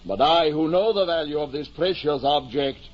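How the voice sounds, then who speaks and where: An actor playing a grandiose, deluded ageing knight-errant in an old radio drama, speaking in a pompous, archaic, declamatory way. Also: male voice, a little high, normal speed, natural voice. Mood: musing, lofty. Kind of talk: 1950 old time radio drama acting. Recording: muffled noisy 1950s radio recording.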